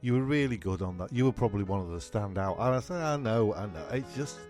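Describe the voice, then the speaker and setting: A man acting a chirpy, self-admiring castaway in a comic radio play, excitable and jokey, with music behind him. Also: fairly low pitch, quick pace, close to an everyday voice. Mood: boastful.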